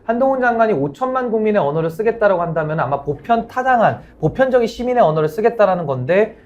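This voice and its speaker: A man, a lawyer on a political panel, arguing forcefully and critically. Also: high-pitched, animated, fast, lo-fi sound. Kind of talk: political debate commentary.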